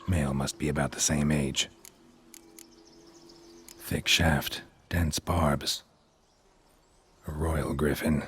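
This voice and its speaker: A super deep gravelly monster hunter, flat and terse, game dialogue, bit of background noise. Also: male voice, slow, pauses often, full character voice. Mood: brooding, observant. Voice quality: gravelly, low. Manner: terse, deadpan, clipped sentences. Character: gruff stoic middle-aged male monster hunter. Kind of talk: video game voice acting.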